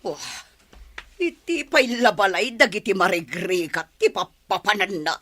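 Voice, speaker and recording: A woman's voice: medium pitch, animated, unhurried, pushed and bright. An actress playing a snobbish wealthy mother in a radio comedy drama, speaking haughtily and with disdain. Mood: haughty. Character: snobbish rich mother Esmeralda.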